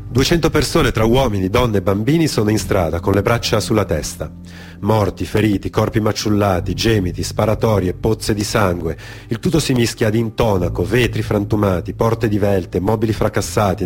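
A man's voice: fairly low pitch, a little mellow. Male radio-theatre storyteller over faint music. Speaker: storyteller, actor. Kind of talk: dramatic narrative monologue for radio theatre.

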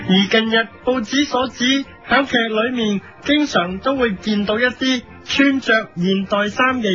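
A man's voice: high-pitched, sharp tone. A man with a Hong Kong Cantonese accent hosts a parody editorial, delivering satirical scripted commentary in a mock news style. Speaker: host of a parody editorial programme. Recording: lo-fi radio recording with music behind. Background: music.

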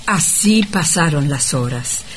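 A woman narrating a scripted radio drama in a measured storytelling manner. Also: sits low, animated. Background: music.

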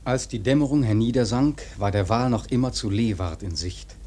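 An actor playing a grave seafaring storyteller, narrating a radio drama in a husky, measured, literary way. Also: male voice, medium pitch, lively intonation, mellow, a little hiss or hum, natural voice. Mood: grave, suspenseful. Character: grave male seafaring storyteller recounting a whale hunt.

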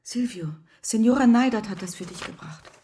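Radio drama role: practical, caring mother, played by an actress, soft-toned, slightly high, gently instructing. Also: animated, normal speed, close to an everyday voice. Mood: caring, urging. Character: caring, practical mother sending her boy off. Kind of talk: German radio drama acting.

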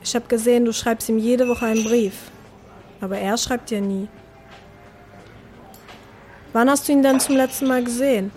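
High-voiced, earnest young woman friend acted. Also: fairly even intonation, normal speed, long pauses, warm tone, faint music behind, close to an everyday voice. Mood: concerned, urging. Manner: urging, earnest. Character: concerned, practical young female friend. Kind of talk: radio drama acting.

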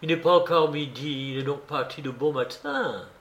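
Guy putting on a crisp detective voice, quick and observant, a bit higher. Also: fairly bright tone, roomy sound, dull audio. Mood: brisk. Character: brisk, observant male detective.

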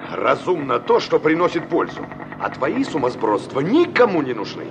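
A man's voice: fairly high pitch, wildly swooping pitch, moderate pace, creaky, heavily acted. An actor in a Soviet radio play as an irritated man who scolds and lectures a stubborn girl. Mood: stern, lecturing. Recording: noisy radio drama with passing car sounds.

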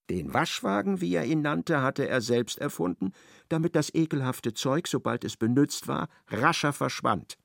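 A man narrating a radio play in an ironic, expressive storytelling manner. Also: mid-range voice, gravelly, dry recording, clean studio sound.

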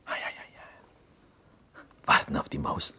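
Ein Schauspieler spielt in einem Hörspiel einen ernsten, naiv beharrlichen griechischen Häftling mit griechischem Akzent und gebrochenem Deutsch. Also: male voice, slow. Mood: hushed, pestering. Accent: Greek. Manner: broken German, hushed storytelling. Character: earnest, insistent Greek prisoner, naive and reverent. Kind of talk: German radio play acting, 1970s.

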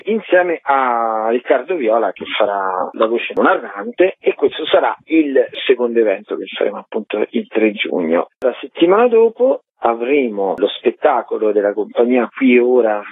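A man giving an explanatory radio interview as a guest festival director, on a remote call. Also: mid-range voice. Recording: muffled echoey phone line, rough sound.

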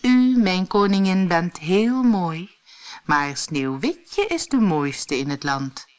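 Magic mirror for a storybook, solemn and stately, slow measured declamation; performed by a woman. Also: on the low side, sing-song. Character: solemn, truthful magic mirror addressing a queen. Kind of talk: fairy tale audiobook character voice.

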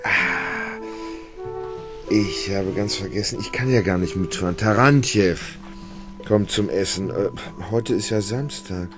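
An actor playing an indolent, helpless and fretful gentleman, plaintive and hesitant, in a radio drama. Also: sits low, measured pace, over music. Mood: sluggish, distracted.

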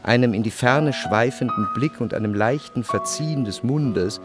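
An actor playing a reflective first-person literary narrator in a radio play, measured and introspective. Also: male voice, mid-range voice, natural voice. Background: music.

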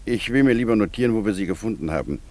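An actor playing a curious, matter-of-fact husband in a German radio play, musing aloud and brushing off worries. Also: male voice, fairly low pitch, animated, very fast, a little mellow, no room sound. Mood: brisk, matter-of-fact.

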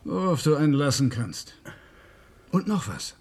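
A man's voice: a little high, very animated, measured pace, a little mellow, a little hiss or hum. An actor playing a brash, slangy man in a radio crime drama. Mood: cocksure. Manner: slangy, emphatic. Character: brash, slangy actor crony spinning heist theories.

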